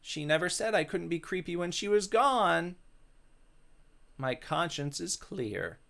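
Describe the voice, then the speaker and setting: A man's voice: high-pitched, expressive, a little slow, vocal fry, pushed and bright, pitched above the performer's own voice, heavily acted. A man voicing a mischievous, vain, lecherous male ghost in a theatrical, exclamatory way for a visual novel. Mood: lecherous, gleeful.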